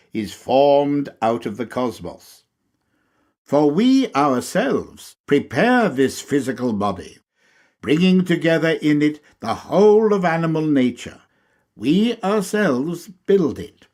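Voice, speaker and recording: Male lecture reader: formal, didactic, unhurried and measured, with very varied intonation. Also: mid-range voice, a little mellow. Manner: deliberate, measured phrasing. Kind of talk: scripted lecture narration.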